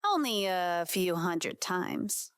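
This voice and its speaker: A woman's voice: on the low side, animated, takes it slowly, smooth, no room sound, studio quality. A woman acting as a determined, wry hockey player daughter with some edge, in an audiobook drama. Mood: fondly wry.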